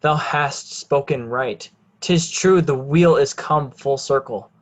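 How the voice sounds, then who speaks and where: An actor plays a dying, repentant male villain in Shakespearean tragedy. The voice is slow, halting and resigned. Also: mid-range voice, natural voice. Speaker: amateur actor in a reader's theatre. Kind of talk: Shakespearean stage reading.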